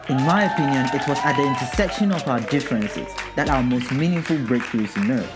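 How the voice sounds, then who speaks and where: A man's voice: mid-range voice, lively intonation, fairly quick, over a noisy crowd. A man giving an earnest, reflective scripted voice-over narration. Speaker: channel host. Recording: voice-over with loud music. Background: music.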